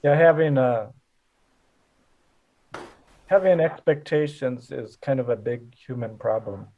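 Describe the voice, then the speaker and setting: An older man's gravelly, husky voice comes through dull, lo-fi call audio. He leads a group discussion in a relaxed, halting way, chuckling often. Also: medium pitch. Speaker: Zen teacher leading discussion. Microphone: video call.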